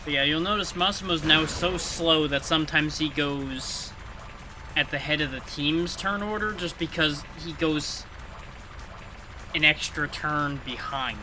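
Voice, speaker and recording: A man giving casual live gameplay commentary as a streamer, thinking aloud and explaining things conversationally. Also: on the high side. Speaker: video game livestreamer. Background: video game music.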